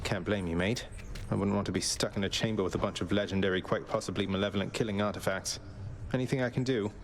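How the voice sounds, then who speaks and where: Actor as low-voiced underworld prince. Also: male voice, fairly even intonation, quick pace, lightly acted. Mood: wry, casual. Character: young underworld prince, dry and easygoing. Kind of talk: video game dialogue. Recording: music behind the voice.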